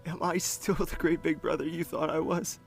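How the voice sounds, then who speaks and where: An actor playing a young crown prince haunted by grief, speaking in a brooding, halting, dramatic way. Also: male voice, fairly high pitch, flat delivery, gravelly, soft background music. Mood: brooding, sorrowful. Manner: brooding, anguished, halting delivery. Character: grieving young crown prince haunted by his sister's death. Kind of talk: dramatic audio drama monologue.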